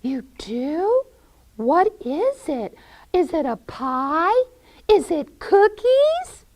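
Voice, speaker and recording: Woman playing a high-pitched, worried little girl. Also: big pitch swings, drawn-out words, darkish tone, heavily acted. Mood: curious. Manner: wide-eyed, questioning, pleading. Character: innocent young girl, worried little sister, trusting.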